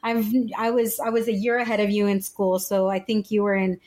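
A woman in her forties with a loud voice, co-hosting a casual chat and telling animated stories. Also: mid-range voice, brisk. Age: 40s. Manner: chatty, animated storytelling. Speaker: podcast co-host.

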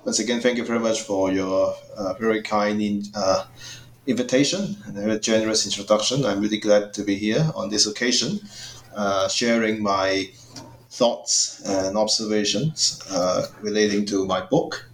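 Man, lowish voice, halting formal speech, lots of fillers, roomy echo. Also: steady tone, a little hiss or hum. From Hong Kong. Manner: hesitant, many "uh" fillers, formal and polite. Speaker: guest author, political science professor. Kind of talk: podcast interview.

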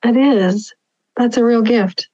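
A woman hosting a podcast, asking thoughtful, measured questions. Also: fairly high pitch, warm tone, lo-fi sound. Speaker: podcast host. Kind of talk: interview conversation.

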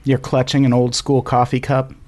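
A man's voice: medium pitch, completely flat delivery, normal speed, darkish tone. A man hosting a podcast, joking and teasing his guests in casual banter, swearing often. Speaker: podcast host.

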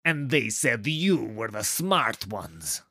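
A narrator voicing a gruff, scornful male guard captain who growls and snarls in an audiobook. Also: mid-range voice, sing-song, slow, sharp tone, no room sound, clean studio sound, exaggerated voice. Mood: sneering. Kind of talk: dramatic audiobook villain acting.

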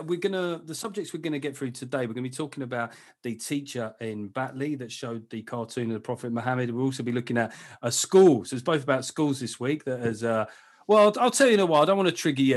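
A man's voice: mid-range voice, fast talker. A man hosting a podcast in casual chat, sardonic, teasing and freely profane. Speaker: podcast host.

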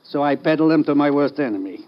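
An actor playing a sour European theater manager in a vintage radio drama, complaining in a stiffly formal, deadpan way. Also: male voice, fairly high pitch, fast, lightly acted. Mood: drily spiteful. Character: sour, aggrieved European theater manager, male, drily spiteful. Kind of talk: 1950s radio drama acting. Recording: dull vintage radio recording.

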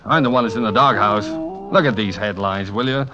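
A man's voice: lowish voice, brisk, lightly acted. A man playing a flustered, exasperated playboy artist in love, blustery and theatrical in 1940s radio comedy style. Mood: sardonic. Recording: dull old radio recording.

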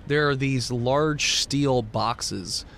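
A man's voice: mid-range voice, very slow, fairly bright tone. A man hosting as game master, describing scenes in a casual, conversational way as he improvises the narration. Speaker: game master and podcast host. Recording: clear background noise.

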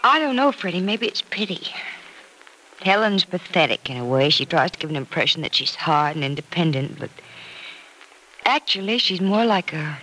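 A woman's voice: on the low side, very animated, normal speed, pushed and bright, low-quality recording. An actress in an old-time radio drama playing a sensible, sympathetic woman friend who probes and reasons earnestly as she gives advice. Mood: gentle, reassuring. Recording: vintage radio recording, faint noise.

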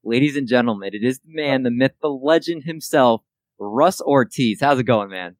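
A man's voice: mid-range voice, normal speed, dry recording. Casual man hosting, dry close sound. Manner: upbeat hype intro, then casual questions. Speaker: podcast host. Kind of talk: casual podcast interview.

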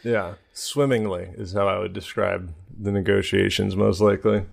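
A man's voice: lowish voice, fairly even intonation, moderate pace, creaky. A man hosting a podcast in casual comedic chat, with a rambling, joking way of talking. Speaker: podcast host.

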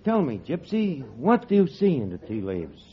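An actor playing a wisecracking, scheming tavern manager who talks ungrammatically, in a 1940s radio comedy. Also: male voice, fairly high pitch, huge pitch swings, moderate pace, slight background noise. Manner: wisecracking, fake sincerity, ungrammatical. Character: fast-talking scheming tavern manager, middle-aged man. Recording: dull old radio broadcast, faint audience laughter.

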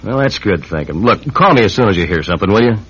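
An actor playing a protective, wisecracking writer turned amateur sleuth in a radio drama. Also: male voice, on the low side, lively intonation, fast, a bit bright, husky. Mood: wry, irritated. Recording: dull radio recording, music behind.

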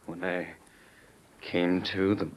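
An actor playing an earnest army major undercover as a gunfighter, telling his story gravely in an old-time radio drama. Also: sits low, little pitch movement, slow. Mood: grave, weary. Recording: noisy vintage radio recording.

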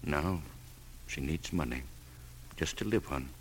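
An extremely deep-voiced, loyal manservant in an old radio drama. He speaks haltingly and earnestly, anxious and deferential, over a faintly noisy recording. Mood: pleading, confiding. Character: loyal, deferential longtime male servant, anxious and devoted. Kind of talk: 1940s-50s American radio drama acting.

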